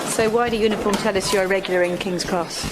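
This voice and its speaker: An actress playing a matter-of-fact British police detective in an audio drama, factual and direct. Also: female voice, mid-range voice, steady tone, quick pace, natural voice. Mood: pointed, direct. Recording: clear background noise.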